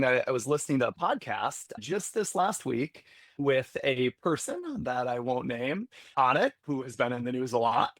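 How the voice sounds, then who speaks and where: A man's voice: medium pitch, fairly bright tone, no echo. Candid man thinking aloud, dry sound. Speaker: healthcare security executive, podcast guest. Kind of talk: conversational interview.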